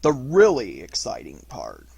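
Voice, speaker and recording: A man voicing a melancholy, self-pitying donkey in a storybook, slow, deadpan and resigned. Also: mid-range voice, gravelly, slightly rough, no echo, heavily acted.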